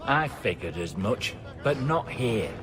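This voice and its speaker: A man's voice: medium pitch, sing-song, slow pace, a crowd in the background. A man playing a wary, terse sailor in a video game, sharing what he knows with grim caution. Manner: terse, guarded. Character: guarded, wary sailor informant, grimly loyal. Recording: noisy ship ambience.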